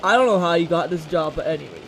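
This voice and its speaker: A man's voice: expressive, fast. A man playing a sensible talk radio co-host in an amateur scripted skit. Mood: exasperated. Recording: clear rumbling storm-like background noise.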